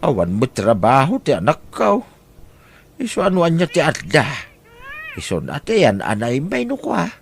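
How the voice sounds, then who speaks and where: A man's voice: on the high side, huge pitch swings, moderate pace, full character voice. A man playing a humble older farmer and grandfather, talking deferentially in an audio drama. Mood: worried, humble. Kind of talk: conversational audio drama acting. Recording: clearly noisy background.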